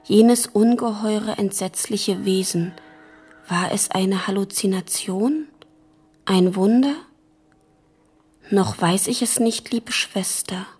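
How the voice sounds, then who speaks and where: A woman playing a grave, haunted letter writer, narrating in a hushed, measured way in a radio drama. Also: mid-range voice, slightly rough, soft background music. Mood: hushed, troubled.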